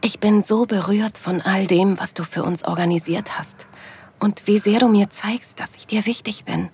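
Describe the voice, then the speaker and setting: A woman playing a loving, happy wife, narrating an intimate audio drama softly and breathily, often near a whisper. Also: mid-range voice, fairly even intonation, moderate pace. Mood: moved. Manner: soft, breathy delivery, often dropping to a whisper. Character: loving wife, happily moved, narrating her anniversary trip tenderly.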